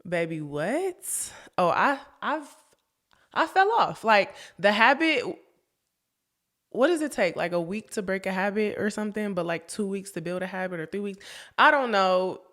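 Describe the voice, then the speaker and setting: A woman's voice: medium pitch, big pitch swings, a little slow, a bit bright. Young woman, podcast host, chatty, laughs a lot, sniffly from a cold, casual talk to listeners. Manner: chatty, laughs often, talks straight to listeners. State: sniffly, getting over a cold. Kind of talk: casual chat.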